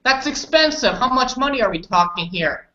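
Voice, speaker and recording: A woman's voice: a little high, animated, moderate pace, sharp tone. A woman with a Canadian accent leading an informal online English lesson, repeating and rephrasing simply for learners. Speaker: English conversation teacher. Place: indoors on an online group call. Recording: dull roomy online call audio.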